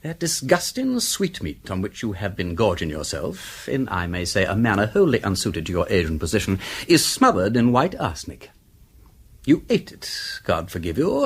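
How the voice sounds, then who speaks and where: An actor playing a flippant, witty English aristocrat detective in a radio drama, urbane and drawling, teasing with mock politeness. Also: male voice, mid-range voice, breathy edge, full character voice. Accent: upper-class English.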